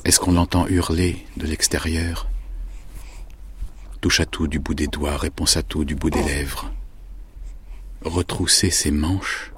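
An actor playing a weary, self-mocking country doctor, speaking his inner thoughts in a hushed voice for a radio drama. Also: a bass voice, level tone, slow, pauses often, slight background noise. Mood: brooding.

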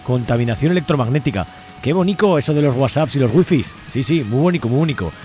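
A man's voice: medium pitch, animated, fast talker, over music. A man hosting a radio show in an informal, conversational way, often adding tag questions. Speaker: radio host. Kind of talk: conversational radio interviewing and presenting.

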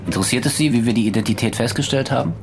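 An actor playing a formal, relentless police interrogator in a German radio drama, controlled and pointed. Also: male voice, medium pitch, very fast, gravelly, music in the background, close to an everyday voice. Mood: cool, insistent.

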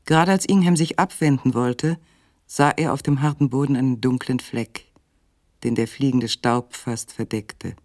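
Male radio play narrator, medium pitch, dry studio sound, sober, detached, measured, literary storytelling. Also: steady tone, a little mellow, dry recording, close to an everyday voice. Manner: measured, matter-of-fact.

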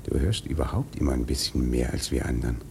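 An actor playing a gruff, sleepy sailor in a radio play, grumbling and dismissive. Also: male voice, extremely low pitch, quick pace, darkish tone, slight background noise. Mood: grumpy, mocking. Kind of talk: German radio play acting.

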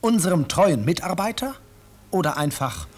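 A man's voice: fairly high pitch, sing-song, normal speed, darkish tone. A man acting as the wry narrator of a 1950s German radio drama, addressing the listeners. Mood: wry, solemn. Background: music.

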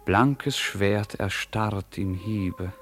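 A man narrates a 1950s literary radio play in a solemn, deliberate storytelling manner, at times reciting verse. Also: lowish voice, fairly bright tone. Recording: 1950s radio recording with music. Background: music.

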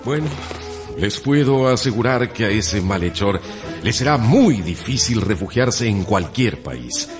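A man playing an earnest, anxious gentleman banker in a radio drama, formal and grave, over a music bed. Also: lowish voice, very animated, a little slow.

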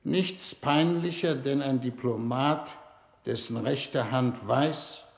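An actor playing a shrewd foreign minister leading a space mission, speaking in a measured, formal way in a 1960s German-language radio drama. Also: male voice, medium pitch, gravelly. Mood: probing, ironic. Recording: roomy 1960s radio studio recording.

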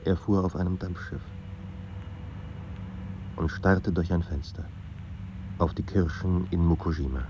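A man narrating a melancholy literary radio drama in a measured, sombre manner. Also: sits low, muffled recording, background noise.

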